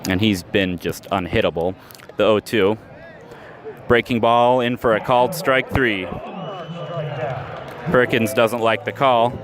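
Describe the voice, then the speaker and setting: A man calling baseball play-by-play for radio, setting the action against stats in a steady, measured delivery, recorded live at an outdoor ballpark. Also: fairly low pitch, a crowd in the background. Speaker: play-by-play announcer. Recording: noisy outdoor ballpark ambience.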